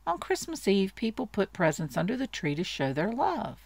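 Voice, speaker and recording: A woman voicing a gentle, reassuring mother Dalmatian in a storybook, speaking tenderly. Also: medium pitch, sing-song, moderate pace, creaky, no room sound.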